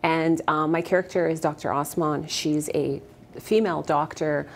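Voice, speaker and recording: A woman in her thirties from Afghanistan, a guest actress in a morning TV studio interview, speaking earnestly and fluently with soft fillers on a lapel mic. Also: low-pitched, fairly even intonation, normal speed, a little hiss or hum. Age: 30s. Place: TV studio set, indoors.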